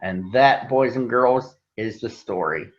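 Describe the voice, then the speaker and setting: A man's voice: medium pitch, animated, measured pace. A man narrating a children's story to young listeners as a storybook reader. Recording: roomy echo, dull sound.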